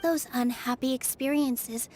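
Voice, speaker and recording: A woman voicing a gentle, earnest young dancer in a video game, speaking in a reassuring way. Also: sits high, a little slow, slight background noise. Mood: gently encouraging. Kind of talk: scripted video game dialogue acting. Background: background music.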